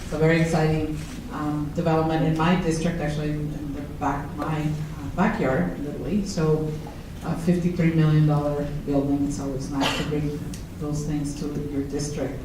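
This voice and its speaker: A woman's voice: low voice, flat delivery, normal speed, few pauses. Woman, 40s, panelist, candid and self-deprecating, distant from mic, heavy echo. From Mexico. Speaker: state senator panelist. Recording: echoey hall, far from mic, noisy.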